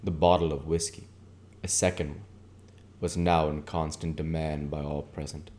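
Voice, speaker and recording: A man's voice: a bass voice, level tone, normal speed, a little mellow, slight background noise. A man reading literary prose aloud as an audiobook narrator and channel host.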